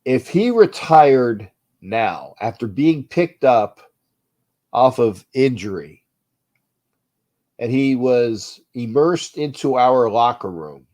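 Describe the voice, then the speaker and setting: A man's voice: mid-range voice, dark tone. Stuffy, nasal man ranting on a livestream. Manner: frustrated, blunt, repeats himself, reads chat aloud. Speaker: sports talk livestream host. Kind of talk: casual ranting livestream chat. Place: cold indoor room.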